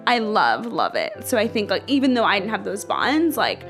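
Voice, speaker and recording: A woman's voice: medium pitch, huge pitch swings, moderate pace, fairly bright tone, soft background music. A young woman from Ethiopia speaking in a casual, earnest, reflective way, filling her talk with "like". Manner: earnest, says "like" constantly. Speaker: interviewee.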